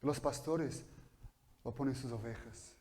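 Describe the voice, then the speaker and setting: A man preaching a sermon in a church, his coming through his Spanish, swinging between quiet explanation and loud, fervent passages. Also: mid-range voice, normal speed, slight background noise. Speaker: pastor. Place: church hall.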